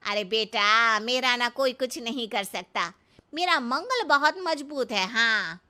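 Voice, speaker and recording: Older rustic mother-in-law for comic cartoon: very sharp, slow-drawling, scolding, cluelessly confident. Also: fairly high pitch, lively intonation, heavily acted. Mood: naive, confident. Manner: scolding, literal-minded, folksy, drawn-out delivery. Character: brash, naive village mother-in-law, older woman. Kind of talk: comic cartoon story acting.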